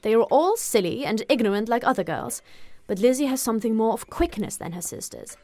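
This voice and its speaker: A woman's voice: a little high, lively intonation, brisk, dark tone, lightly acted. A woman voicing a sarcastic, teasing middle-aged husband with a British accent in an audiobook, wry and reserved. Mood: sardonic. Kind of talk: audiobook character acting.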